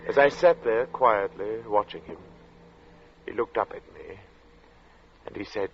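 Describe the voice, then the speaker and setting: A dull, vintage radio recording with music carries an introspective gentleman narrator. His voice sits low, and he tells his eerie story in a measured, brooding, uneasy way. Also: lively intonation, gravelly. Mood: watchful, measured. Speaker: narrator and protagonist. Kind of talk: 1940s radio suspense narration and acting. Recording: muffled old radio drama recording.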